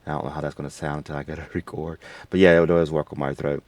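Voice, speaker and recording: Deep-voiced man giving a casual tutorial. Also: flat delivery, fast, darkish tone, no room sound. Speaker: tutorial host. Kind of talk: casual, unscripted software tutorial.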